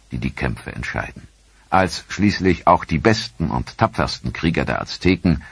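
A man narrating a history documentary in a grave, measured voice-over. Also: rumbling low, husky, no echo.

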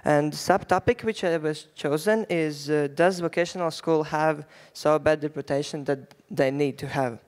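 Latvian-accented teenage boy, clear voice. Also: a little high, steady tone. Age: teens. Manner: halting non-native phrasing with fillers. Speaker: youth delegate. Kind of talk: prepared panel speech. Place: large conference hall stage. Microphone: handheld mic.

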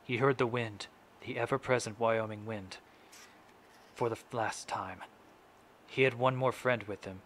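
A man's voice: on the low side, fairly even intonation, fairly bright tone. Young man playing a solemn grieving father. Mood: tender, mournful. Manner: solemn, deliberate, measured phrasing. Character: grieving, resolute middle-aged father addressing his son's killer. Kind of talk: dramatic stage monologue recitation. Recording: noisy amateur home recording.

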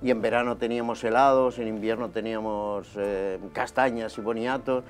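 A man in his fifties from Barcelona, a guest telling his life story in a relaxed, conversational way, recorded on a lapel mic in a small TV studio. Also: fairly low pitch, normal speed, faint music behind. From Barcelona, Spain. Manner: relaxed and conversational, gesturing as he talks.

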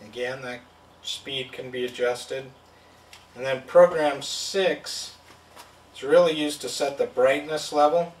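A man's voice: mid-range voice, steady tone, takes it slowly, distant mic. Man demoing a circuit board, step-by-step explaining, roomy room, a bit off-mic. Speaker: product demonstrator. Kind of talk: hands-on product demo. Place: indoor studio at a table.

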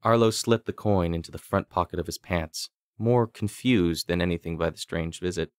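A man narrating a scripted fantasy audiobook with deliberate, dramatic storytelling. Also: low voice, clean tone, no room sound, studio quality.

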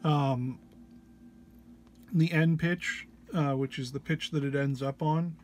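Slow, halting man giving a tutorial. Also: on the high side, fairly even intonation. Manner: hesitant, halting explanation. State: under the weather. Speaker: presenter of a gear walk-through. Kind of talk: informal tutorial walk-through.

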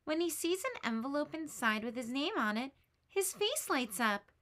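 A woman teacher reading a children's picture book aloud, expressive and lifting her voice for the characters' lines. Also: moderate pace. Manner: expressive, lifts pitch for quoted lines.

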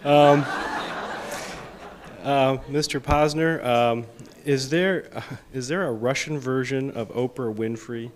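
Hesitant male moderator reading questions. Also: mid-range voice. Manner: halting, filler-heavy reading of questions. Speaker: event moderator. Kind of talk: moderating questions and presenting gifts. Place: press club event hall.

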